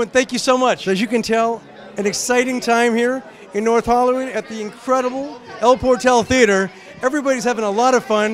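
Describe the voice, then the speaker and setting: A male host does roving interviews in a chatty, effusive style. His voice sits high and he talks quickly, with crowd chatter behind him. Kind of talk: upbeat on-location event interviews.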